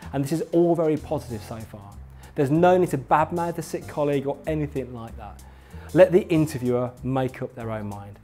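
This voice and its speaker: A man's voice: mid-range voice, a little mellow. Emphatic instructional man over music. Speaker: instructor, presenter. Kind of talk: scripted instructional narration addressed to viewer.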